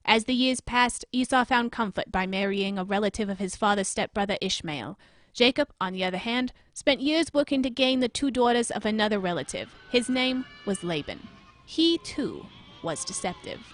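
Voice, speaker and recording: A woman playing an earnest, probing TV newsmagazine anchor in an audio drama, with measured, dramatic narration. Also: mid-range voice, expressive, close to an everyday voice. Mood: grave, intrigued. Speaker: host.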